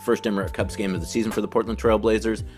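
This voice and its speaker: A man hosting a sports podcast with casual commentary, talking in a conversational, halting way and circling back to rephrase. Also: lowish voice, level tone, music in the background. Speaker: podcast host and team beat reporter.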